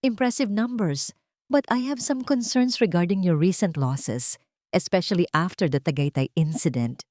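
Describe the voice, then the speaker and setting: A woman narrator plays a confident, vengeful Filipino businesswoman in an audiobook drama. She is calm, formal and deliberate, with a fairly low voice and a dry, close sound. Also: no echo, lightly acted. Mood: composed, probing.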